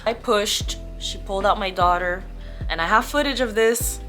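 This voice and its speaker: A young adult woman speaking as a vlogger in a casual storytime, candid and chatty with expressive emphasis. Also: mid-range voice, moderate pace. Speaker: vlogger telling her birth story. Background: background music.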